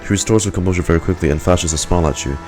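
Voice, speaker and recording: Male game streamer, very low voice, calm read-aloud, casual asides, small stumbles, clear music behind. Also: very fast. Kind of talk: reading game text aloud with casual reactions.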